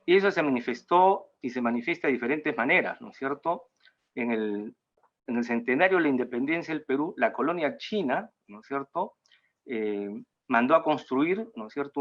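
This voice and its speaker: A mature man with a Peruvian accent gives an informal talk in a halting way, often tagging phrases with a question seeking agreement. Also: medium pitch, lo-fi sound. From Peru. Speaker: guest speaker at a cultural talk. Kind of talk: informal lecture in an online talk.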